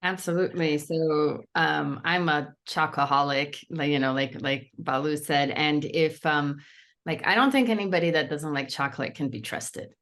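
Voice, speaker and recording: A woman from Brazil speaks with a low-pitched voice as a coach and author giving a webinar over a video call. She talks in a chatty, loose way, full of fillers and playful asides. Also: moderate pace, a bit bright. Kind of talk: webinar presentation.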